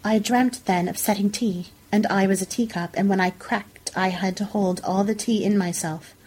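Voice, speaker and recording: A woman's voice: medium pitch, fairly even intonation, moderate pace, a little mellow, slightly rough, close to an everyday voice, low-quality recording. A woman voicing a dreamy, unhinged female vampire with a childlike, musing manner in a story reading.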